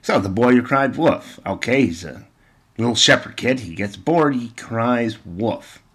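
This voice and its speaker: A man's voice: medium pitch, animated, unhurried, a bit bright. A man giving informal opinion commentary and retelling stories, with exasperated, sarcastic emphasis and rhetorical questions. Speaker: video commentator.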